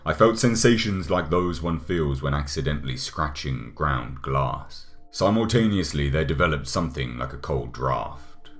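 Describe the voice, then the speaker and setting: A man narrating a gothic horror audiobook in a measured, dramatic manner. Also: rumbling low, a bit bright. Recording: music under the voice.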